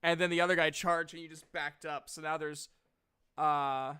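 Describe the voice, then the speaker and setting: Bright-voiced man running a tabletop game. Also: fairly high pitch, flat delivery, normal speed, smooth. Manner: jokey, profane. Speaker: game master. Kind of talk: casual improvised tabletop game narration.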